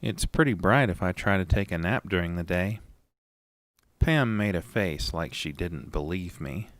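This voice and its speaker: A man playing an anxious, haunted narrator in a first-person horror audio drama, deliberate and suspenseful. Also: deep voice, expressive, dry recording. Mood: easygoing.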